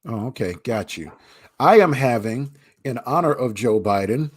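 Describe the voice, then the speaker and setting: Husky-voiced man hosting a chat show. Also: mid-range voice, animated, moderate pace. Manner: playful, teasing. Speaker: co-host. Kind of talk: live casual chat.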